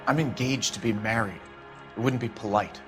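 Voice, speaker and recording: A man plays a smooth-talking, evasive businessman being questioned in a crime game. He speaks in a lowish voice, hedging politely and staying guarded. Faint music sits under the dialogue. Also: moderate pace, natural voice. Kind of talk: dramatic interrogation dialogue.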